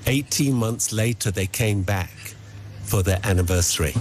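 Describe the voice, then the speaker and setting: A man who owns a bookshop, telling anecdotes in a relaxed, anecdotal way. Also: lowish voice, normal speed. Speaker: bookshop owner.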